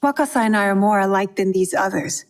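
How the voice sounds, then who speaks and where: A woman's voice: mid-range voice, fast talker, long reverb tail, lightly acted. A woman playing a guarded, determined young female shinobi bent on revenge in a video game, speaking in a serious, terse way. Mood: brooding, suspicious. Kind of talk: video game cutscene acting.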